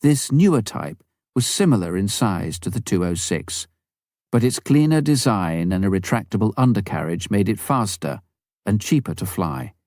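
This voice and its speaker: A man narrates a memoir in a fairly low voice with plenty of melodic movement. His delivery is measured and expressive, clearly read from a script. The sound is dry, with very little room around it. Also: dry recording. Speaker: audiobook narrator.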